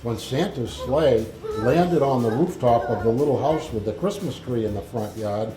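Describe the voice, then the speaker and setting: A man reading a story aloud to children in a halting, informal manner. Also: medium pitch. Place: indoor library room with audience. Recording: echoey room, faint music.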